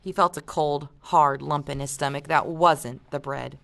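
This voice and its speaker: A woman reading aloud expressively as a teacher, pausing for casual discussion and guiding questions. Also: deep voice, steady tone, gravelly. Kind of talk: audiobook-style read-aloud with casual discussion.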